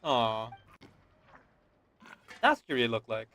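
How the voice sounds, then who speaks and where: A woman's voice: very deep voice, very animated, measured pace, fairly bright tone, dry recording. A woman streaming a game, casually reacting and chatting as she plays. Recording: faint game music behind.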